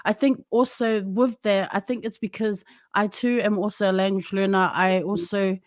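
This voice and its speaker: A woman's voice: medium pitch, steady tone, no echo. Reflective woman podcast host, dry sound. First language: Samoan speaker alongside English. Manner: reflective, measured, frequent fillers. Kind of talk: casual interview talk.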